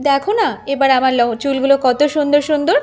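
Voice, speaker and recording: A woman's voice: sits high, fairly even intonation, fast talker, darkish tone, close to an everyday voice. A woman voicing a proud, defiant young village woman in a cartoon, answering back with firm taunts. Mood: taunting, smug. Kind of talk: Bengali cartoon voice acting.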